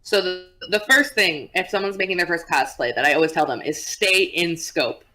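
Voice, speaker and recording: A young woman with a low, easygoing voice that carries a soft rumble. She talks in a loose, chatty way, laughing often as a podcast guest. Her pitch sits a little under most women's. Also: moderate pace, poor audio. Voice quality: low voice with a relaxed vocal rumble. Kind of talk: casual podcast interview.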